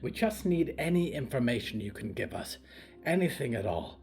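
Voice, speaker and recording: A man's voice: mid-range voice, big pitch swings, normal speed, a little mellow, faint music behind. A man voicing a diplomatic woman scout leader in an audio drama, soothing, polite and earnest. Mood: placating. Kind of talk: audio fiction story acting.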